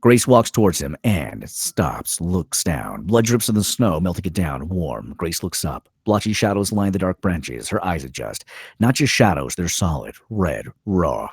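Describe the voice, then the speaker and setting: A man reading screenplay directions as a dramatic narrator, in clipped, suspenseful phrases with pauses for effect. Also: low-pitched, sing-song, gravelly, slightly rough, no echo, studio quality. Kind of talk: dramatic scripted narration at a table read.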